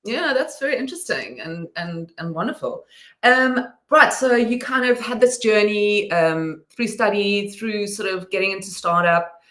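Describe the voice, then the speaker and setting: A woman with a mid-pitched voice hosts an interview in a conversational way. She builds her questions hesitantly, piece by piece. Her sound is noticeably roomy and echoing. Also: expressive, moderate pace, a bit bright. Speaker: podcast host.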